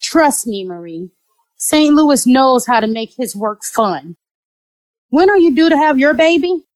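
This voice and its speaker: An actress playing a sweetly sociable, scheming neighbour in an audio drama. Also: female voice, high-pitched, very animated, unhurried, a little mellow, no room sound. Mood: chatty. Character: scheming, sugary-sweet pregnant woman neighbour and friend.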